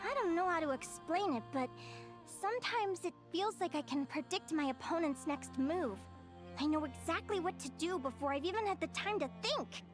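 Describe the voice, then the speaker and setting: A woman's voice: very high voice, animated, moderate pace, music in the background. A woman voicing a cheerful, friendly young winged changeling girl in a video game. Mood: upbeat, earnest. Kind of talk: English video game voice acting.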